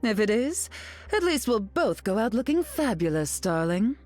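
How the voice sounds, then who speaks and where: A woman's voice: on the high side, big pitch swings, normal speed, darkish tone, faint music behind. An actress playing a haughty, sardonic, glamorous telepathic queen in a video game, drawling with dry mockery. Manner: haughty, drawling, dryly mocking. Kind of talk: video game character voice lines.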